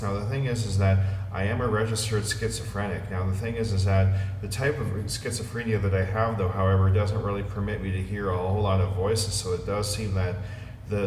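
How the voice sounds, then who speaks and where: Male amateur vlogger, middle-aged, deep-pitched, hesitant and earnest, recorded off-mic with some background noise. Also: a little mellow, roomy sound, in a large hall, recorded from a distance. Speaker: amateur video blogger. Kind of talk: rambling monologue to viewers.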